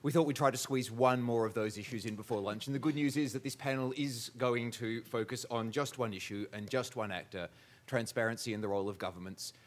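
A man's voice: medium pitch, brisk. A man moderating a conference panel in a large hall, with dry, wry humor.

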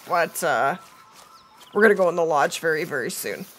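A woman's voice: deep voice, animated, normal speed, slight background noise. A woman in her thirties livestreaming a game in casual, chatty talk, her voice strained by a cold. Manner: chatty, talking to viewers and to the game. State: has a cold, losing her voice. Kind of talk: casual livestream gameplay chat. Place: indoors at a streaming setup.

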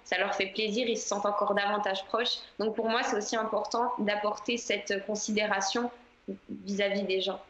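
A woman singer answering interview questions in a relaxed, chatty way, with many fillers. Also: mid-range voice, normal speed, reverberant, highs cut off, a little hiss or hum. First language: French.

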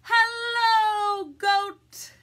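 A woman voicing an excited, friendly male duck in a children's storybook, calling out drawn-out, exuberant greetings. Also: a little high, full character voice. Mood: cheery, excited. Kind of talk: children's picture-book read-aloud.

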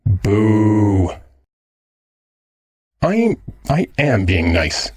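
A man's voice: lowish voice, very animated, very slow, a little mellow, no echo, studio quality, full character voice. A man playing a teasing, jealous, slangy android boyfriend in an ASMR roleplay. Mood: snarky. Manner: cheeky, slangy, sardonic.

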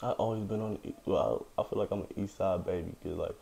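A man's voice: low voice, steady tone, quick pace, creaky, warm tone. A young man from Kenya, a guest chatting casually in slangy talk, laughing often. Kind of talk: casual chat.

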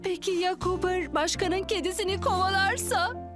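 A woman voicing a flustered, affectionate young vet in a children's cartoon, fussing and very expressive. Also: very high-pitched, moderate pace, music in the background. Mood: worried. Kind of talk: animated children's cartoon dub.

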